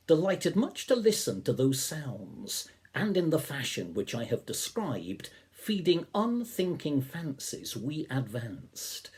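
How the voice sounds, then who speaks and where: Older man, mellow and husky. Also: medium pitch. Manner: deliberate, expressive phrasing. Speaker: poetry reader, reverend. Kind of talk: measured poetry reading with introduction. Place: small living room or study, indoors.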